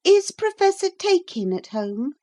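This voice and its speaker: A woman's voice: extremely high pitch, big pitch swings, a little slow, warm tone, pitched above the performer's own voice, heavily acted. A woman reading aloud, voicing a young princess disguised as a beggar maid, eager and pleading.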